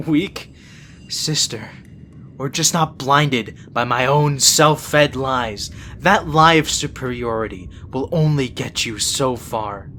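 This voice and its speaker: A man's voice: medium pitch, slow pace, bright, forceful tone, a little hiss or hum. A man playing a principled, exasperated younger-brother vampire prince in an audio roleplay, speaking in an earnest, reproachful way. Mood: defiant.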